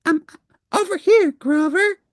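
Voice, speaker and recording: Tiny kid monster, super high, playful and excited, storybook. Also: a little slow, dry recording, clean studio sound. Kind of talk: storybook character voice.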